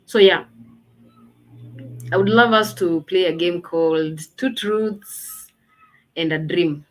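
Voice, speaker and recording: A woman's voice: fairly low pitch, rough recording. A woman hosting a casual online launch party over a video call, chatty and thinking aloud as she talks. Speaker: host.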